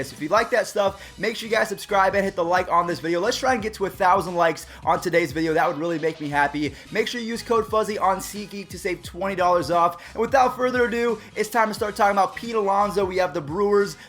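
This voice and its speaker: A young man hosting a casual baseball recap to camera, upbeat and chatty, his voice slightly raspy. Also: sits high, a bit bright. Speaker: YouTube baseball recap host.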